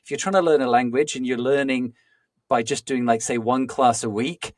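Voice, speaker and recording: A man hosting a podcast, asking long, halting questions with many restarts and explaining with analogies. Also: mid-range voice, steady tone, dry recording, studio quality. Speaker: podcast host. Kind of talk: conversational interviewing.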